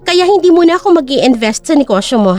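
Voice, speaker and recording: A woman's voice: high voice, lively intonation, quick pace, a little mellow, soft background music. A woman voicing a cool, firm young company owner in an audio drama.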